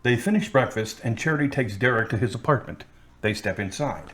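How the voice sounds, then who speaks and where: A man's voice: medium pitch, lively intonation, brisk, a bit bright. A man reading scripted scene narration for an audio drama as its narrator.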